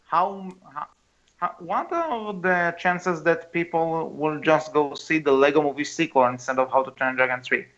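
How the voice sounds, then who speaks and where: A man's voice: moderate pace, fairly bright tone. A man co-hosting a podcast in casual discussion, speaking in hesitant, stop-start phrases. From outside the United States. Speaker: podcast co-host. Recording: dull, low-fidelity mic.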